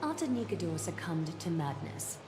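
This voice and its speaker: An actress voicing a poised, regal seer in a video game, speaking in formal, measured and gracious lines. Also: female voice, fairly low pitch, sing-song. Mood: composed. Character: poised regal seer lady, host of a holy city. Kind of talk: scripted video game voice acting. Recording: roomy echo under loud game music.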